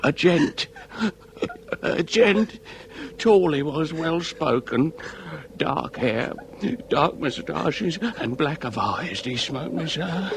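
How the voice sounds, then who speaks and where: A man's voice: fairly high pitch, animated, slow pace, a little hiss or hum. An actor playing a mortally wounded Victorian father, weak and florid, in a melodramatic period radio comedy. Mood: dying, florid. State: weak, dying gasps.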